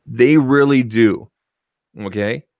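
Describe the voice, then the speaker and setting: A man's voice: mid-range voice, takes it slowly, a little mellow, no echo. A man co-hosting a tech podcast in casual chat, emphatic and opinionated, often tacking on "okay" as he makes his points into a studio microphone.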